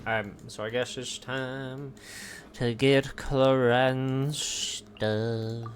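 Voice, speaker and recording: Slow-talking man streaming a game. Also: mid-range voice, steady tone. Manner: rambling, thinking aloud. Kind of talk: casual gameplay commentary. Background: video game music.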